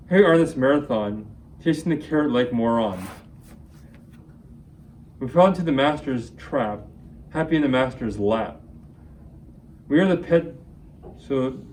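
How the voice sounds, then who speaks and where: A man's voice: mid-range voice, a little mellow, a little hiss or hum. A man reciting his own rhyming spoken-word poems as an amateur poet at a reading.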